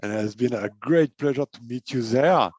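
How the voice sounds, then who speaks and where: A man's voice: medium pitch, normal speed, a little mellow, slightly rough, no room sound. Hesitant male host, dull dry sound. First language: not English. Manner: hesitant, many fillers and repeated words. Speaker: host, life and business coach. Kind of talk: casual interview chat.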